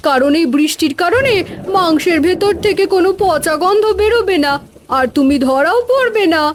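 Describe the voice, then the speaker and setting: A woman's voice: very high-pitched, lively intonation, moderate pace, slight background noise. A woman voicing a cunning, confident village wife in a cartoon, talking insistently and persuasively. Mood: sly persuading. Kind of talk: cartoon voice acting.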